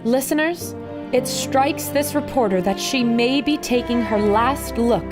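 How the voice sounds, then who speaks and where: A woman's voice: a little high, measured pace, over music, lightly acted. A woman playing a plucky, ambitious radio reporter in an old-time adventure serial, with dramatic, declarative delivery. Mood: dramatic, awed.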